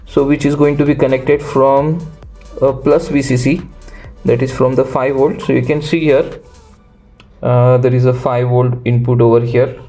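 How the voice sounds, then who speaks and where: Man giving a mellow hands-on tutorial. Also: medium pitch, flat delivery, normal speed, soft background music. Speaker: electronics tutorial host. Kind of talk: unscripted step-by-step hands-on tutorial.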